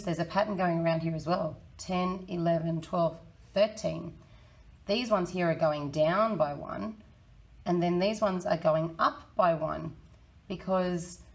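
A woman in her thirties with a croaky, hoarse voice, teaching a children's maths lesson in a friendly, encouraging way. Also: lowish voice, a little mellow. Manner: friendly, encouraging, talks to kids. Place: small indoor room.

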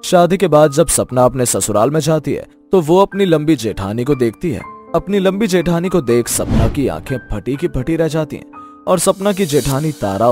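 A man giving scripted narration of a moral story. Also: mid-range voice.

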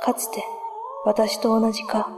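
A woman reciting poetic narration in a slow, wistful, measured way. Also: on the high side, sing-song. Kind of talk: poetic spoken narration over music. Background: music.

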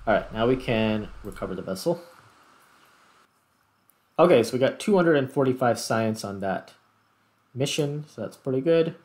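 A man giving casual, unhurried gameplay commentary, thinking aloud as he plays. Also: mid-range voice, animated, a little mellow. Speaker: host, gamer.

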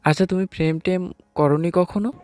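A man's voice: sits high, fairly even intonation, moderate pace, darkish tone, close to an everyday voice. A man playing a nervous, hesitant newlywed husband who narrates his own story in an audio romance. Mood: gentle, unsure. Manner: hesitant, sheepish. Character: timid, nervous newlywed husband, late twenties. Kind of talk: first-person story narration with acted dialogue. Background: music.

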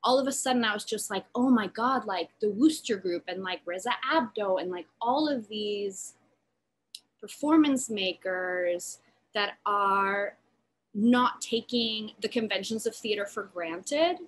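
A woman in her thirties from Puerto Rico gives a lecture over a video call from a room at home. She speaks at an unhurried pace, picking her words with care and scattering "like" through her sentences. Her voice sits at an ordinary middle pitch for a woman. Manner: thoughtful, gestures a lot, many "like" fillers. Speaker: artist lecturer. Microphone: laptop webcam, video call.

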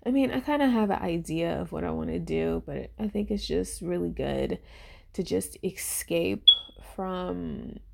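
A woman in her twenties giving a casual, unscripted review in a rambling, informal way, with lots of fillers and heavy vocal fry. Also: lowish voice, animated, unhurried, dark tone. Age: 20s. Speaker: YouTuber reviewing a webtoon. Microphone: voice-over on a phone screen recording.

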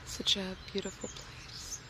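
A woman in her twenties playing a serene young cult member in a whispered, soothing ASMR roleplay, close to a binaural mic. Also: lightly acted. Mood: serene, reverent. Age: 20s. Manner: hushed, slow, soothing, often whispering. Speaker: ASMR performer. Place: outdoors among trees. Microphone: close binaural microphone. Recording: outdoor nature noise, birdsong.

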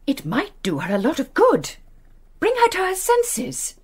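A woman's voice: extremely high pitch, huge pitch swings, unhurried, full character voice. An actress playing a fussy, gossipy middle-aged English lady's companion in a British radio play, with a fluttery, prim manner. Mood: indignant.

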